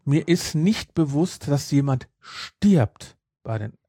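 A man's voice: on the high side, animated, takes it slowly, dark tone, slightly rough, no room sound, clean studio sound. A man chatting casually as a podcast co-host, rambling and repeating his phrases. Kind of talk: casual chat.

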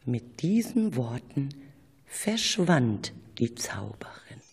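Woman narrator for a radio play, low n slow, noticeable noise behind her. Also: very animated. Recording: clear background noise.

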